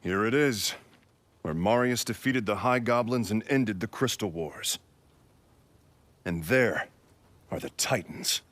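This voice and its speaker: A man playing a steady, loyal adult adventurer in a game, with an easygoing, dry manner. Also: on the low side, slow pace, long pauses, dry recording. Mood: wary.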